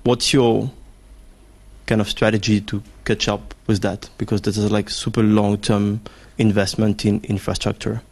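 A man's voice: fairly low pitch, level tone, moderate pace, gravelly, darkish tone. A man from the audience at a lecture, asking a direct question with casual phrasing. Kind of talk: question from the floor. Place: lecture hall.

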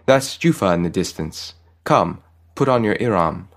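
A man's voice: deep voice, lively intonation, moderate pace. A man voicing a serene, joyful archangel in a story, solemnly proclaiming and reassuring. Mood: calm, encouraging. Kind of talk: audio short story character reading.

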